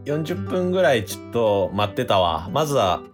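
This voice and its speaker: A man's voice: medium pitch, big pitch swings, normal speed, music in the background, close to an everyday voice. A man with a Kansai accent playing a bashful, easily flustered young man on a date in an amateur comedy radio drama. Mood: casual, eager. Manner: awkward, easily flustered, stammering.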